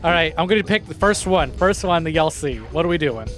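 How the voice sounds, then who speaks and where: A man with a fairly high voice plays through a visual novel on stream. He reads dialogue theatrically and jokes along, with loud music behind him. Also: animated, fairly quick. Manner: playful, joking, theatrical when reading. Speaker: game streamer. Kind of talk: live visual novel playthrough, reading dialogue aloud and commenting.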